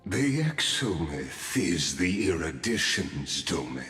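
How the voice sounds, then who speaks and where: Extremely deep, slow cosmic villain. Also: male voice, sing-song, fairly bright tone, faint music behind, heavily acted. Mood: smug, ominous. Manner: formal, deliberate, theatrical. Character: enigmatic, composed, menacing male cosmic negotiator. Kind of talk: scripted video game dialogue.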